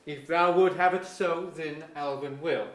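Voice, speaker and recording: A man's voice: a little high, steady tone, unhurried, lightly acted. A man playing a bookish, resentful captive scholar in an archaic verse drama, formal and wry. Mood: wry resentment. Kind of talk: staged reading of pseudo-Elizabethan verse drama. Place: museum auditorium, live reading. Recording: echoey auditorium.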